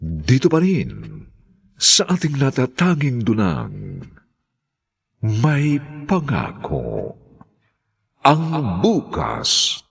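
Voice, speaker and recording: Slow, gravelly male drama announcer. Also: mid-range voice, huge pitch swings. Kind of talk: dramatic programme title announcement.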